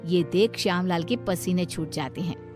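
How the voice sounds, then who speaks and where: A woman's voice: on the low side, normal speed. A woman storyteller reading scripted narration of a moral tale. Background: light background music.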